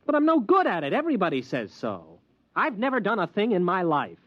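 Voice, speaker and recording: A man's voice: high voice, huge pitch swings, brisk, dry recording, heavily acted. An American man acting in a 1950s radio drama as a reluctant, skeptical young man, whiny and sardonic. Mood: whining protest. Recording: dull dry vintage radio recording.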